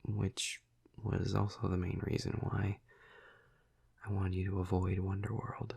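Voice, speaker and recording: A man playing a flirty, protective vampire of high rank in an intimate audio roleplay, speaking softly and hesitantly in a breathy, gravelly half-whisper. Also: monotone, heavily acted. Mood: gentle, explaining. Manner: slow, hesitant, soft, soothing. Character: flirty, protective male vampire, high-ranking in his clan.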